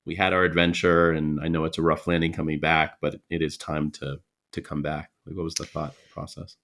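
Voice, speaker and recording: A man speaks in a low-pitched voice as the host of a conversational interview. His phrasing is informal and casual. He tends to reword his questions along the way. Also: level tone, a little fast, fairly bright tone.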